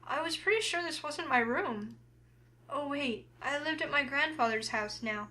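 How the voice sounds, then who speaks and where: A woman's voice: high voice, normal speed. A woman voicing a flustered, wary young heroine who narrates a visual novel game in the first person. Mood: uneasy, reflective. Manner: expressive, flustered.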